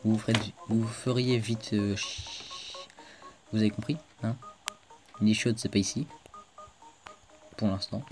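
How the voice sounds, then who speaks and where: A man's voice: fairly low pitch, fairly even intonation, measured pace, long pauses, a crowd in the background. A man hosting a casual let's play video, chatting to viewers as he plays, with a stuffed-up cold. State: congested with a cold. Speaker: gaming video host.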